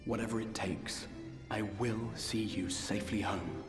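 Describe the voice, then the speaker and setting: Courteous fantasy game leader, male actor: measured, sincere, formal, mid-range, roomy echo over music. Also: on the low side, fairly even intonation, natural voice. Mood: regretful, sincere. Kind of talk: scripted video game voice acting. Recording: echoey reverb under game music.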